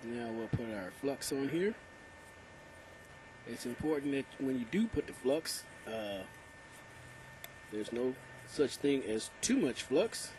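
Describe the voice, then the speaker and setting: A man giving a casual how-to lesson on plumbing while he works on a concrete floor, speaking plainly with many pauses. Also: mid-range voice, expressive, poor audio. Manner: plain, unhurried, many "uh" pauses between terms. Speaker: plumbing instructor. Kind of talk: casual how-to narration over hands-on work. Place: indoors on a concrete floor, working close to the camera. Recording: loud noise behind.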